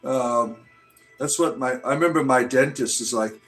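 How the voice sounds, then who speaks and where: Casual male stream host in his fifties, rambles and jokes, soft music in the background. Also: medium pitch, moderate pace. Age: 50s. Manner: rambling, joking, full of "uh" and "right?". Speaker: livestream host. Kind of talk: casual live-chat talk answering viewers.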